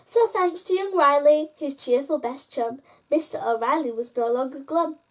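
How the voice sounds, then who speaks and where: A high-voiced woman reads a bedtime picture book aloud. Her delivery is expressive and sing-song, following the rhymes, and she turns to speak straight to young listeners. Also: normal speed, slight background noise. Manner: sing-song, addressing children directly. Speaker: children's storyteller.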